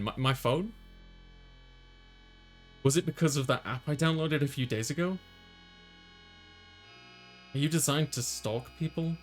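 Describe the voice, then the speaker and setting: Man reading a visual novel aloud over music. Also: medium pitch. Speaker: gaming video host.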